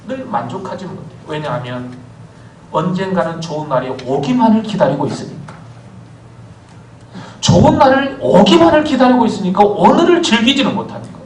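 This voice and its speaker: A man preaching a sermon as pastor, telling stories with lively swings of voice and calling for amens. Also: fairly high pitch, slight background noise. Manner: animated storytelling preacher, calls for amens. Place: church sanctuary. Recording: echoey church hall from far off.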